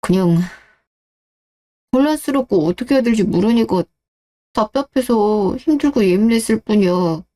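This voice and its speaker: Radio drama role: evasive, desperate husband played by a woman, self-justifying and pleading, with a muted, mellow timbre. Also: mid-range voice, expressive, moderate pace, long pauses, natural voice. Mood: defensive, aggrieved. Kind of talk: radio-drama story dialogue.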